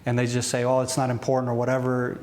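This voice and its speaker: A man with an Israeli accent hosting an interview, whose speech is halting, with many restarts as he searches for words in English. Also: mid-range voice, completely flat delivery, a little mellow, echoey room. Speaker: podcast host. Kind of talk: interview questions.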